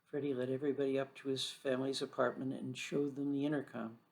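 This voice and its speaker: A man's voice: medium pitch, level tone, quick pace, a little mellow, low-quality recording. A man reading a scripted children's story aloud as the storyteller.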